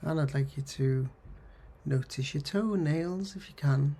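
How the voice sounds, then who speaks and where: Male meditation guide: unhurried, gravelly and husky, soothing, gently instructive, medium pitch. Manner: soothing, gently instructive, pausing between short phrases. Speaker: counsellor guiding mindfulness. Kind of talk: guided meditation, body scan.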